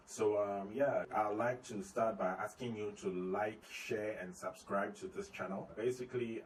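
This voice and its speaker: A man with a fairly low voice gives an informal video lesson, walking through tips one by one in a steady, explanatory way and restating the main points. He sits somewhat back from the microphone, and a faint hum of room ambience runs underneath. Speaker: company CEO giving tips. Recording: slightly distant mic, faint ambient noise.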